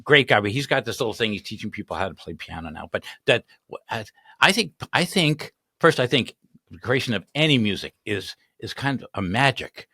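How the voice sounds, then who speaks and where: A man's voice: mid-range voice, lively intonation, fairly quick, sharp tone, husky, no echo. An older man hosting a casual studio interview, rambling and repeating himself as he talks. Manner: rambling, repeats words, laughs often. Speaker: host. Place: small studio with colorful backdrop. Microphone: studio mic on arm.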